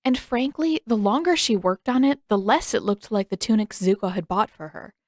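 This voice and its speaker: A woman's voice: medium pitch, expressive, moderate pace, a little mellow, no room sound, studio quality. A woman reading a story aloud as narrator, in scripted storybook narration. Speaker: fan fiction narrator.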